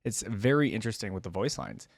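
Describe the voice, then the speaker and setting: A man's voice: on the low side, animated, quick pace, a crowd in the background. A man giving casual fan reaction commentary as host.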